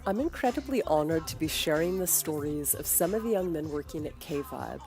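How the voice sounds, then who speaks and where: A woman hosting a podcast, giving a calm, guiding scripted introduction. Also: low-pitched, normal speed, over loud music. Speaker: podcast host.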